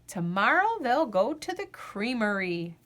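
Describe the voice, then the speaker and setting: A woman narrates a children's picture book at an unhurried pace. Her pitch sits on the high side and moves up and down freely, and she phrases each line deliberately, stretching out the refrains. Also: very animated, fairly bright tone, a little hiss or hum. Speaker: storyteller reading a children's picture book aloud. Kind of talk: expressive children's read-aloud narration.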